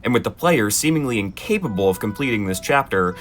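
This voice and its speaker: A man narrating in a gentle, expressive children's storybook style, with dry asides. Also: lowish voice, brisk, faint music behind.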